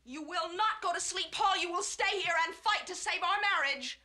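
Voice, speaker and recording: An actress playing an emotional, stubborn young wife in a romantic comedy, indignant and melodramatic in manner. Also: female voice, very high voice, animated, brisk, bright, forceful tone, exaggerated voice. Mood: angry, accusing. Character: young wife, emotional, stubborn and dramatic, quarrelling with her husband. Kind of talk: theatrical romantic comedy acting.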